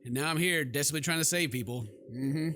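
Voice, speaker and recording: A man's voice: on the high side, fast talker, bright, forceful tone, slight background noise. A man hosting a horror game playthrough, reading text aloud and reacting with theatrical, sarcastic, exclamatory commentary. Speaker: gaming video host.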